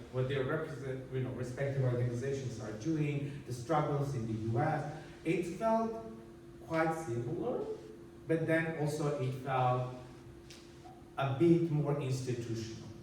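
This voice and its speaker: A man's voice: medium pitch, a little mellow, slight background noise. A man from Armenia, giving an earnest, explanatory conference talk with slides and many restarts. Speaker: conference speaker. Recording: echoey hall, distant mic.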